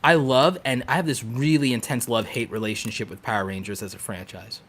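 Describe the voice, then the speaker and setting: A man chatting casually as a podcast co-host, telling stories in an animated, geeky, emphatic and joking way. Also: mid-range voice, a little fast. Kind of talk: casual chat.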